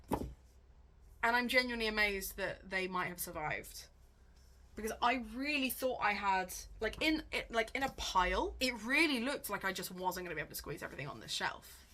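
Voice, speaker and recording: A woman with a fairly high, very bright voice, talking in a casual vlog style. She thinks out loud, questioning herself as she goes, with a wry and animated delivery. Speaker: book vlogger, host.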